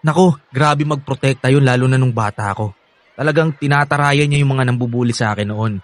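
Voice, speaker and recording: Actor doing a young filipino guy in a radio drama, sweet n eager, chatty, average-pitched voice. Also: a little fast, no room sound, close to an everyday voice. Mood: earnest, candid. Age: young adult. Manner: earnest, chatty, laughs often. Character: affectionate, eager young man, devoted to his nanny.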